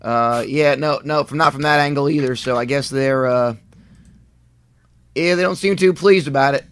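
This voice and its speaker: A man's voice: mid-range voice, normal speed, clear, resonant tone. A man doing comedic live race commentary, rambling with many fillers and restarts.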